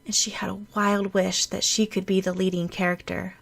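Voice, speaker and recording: A woman's voice: mid-range voice, flat delivery, normal speed, gravelly, slightly rough. A woman narrator reading a fan fiction audiobook as scripted storytelling.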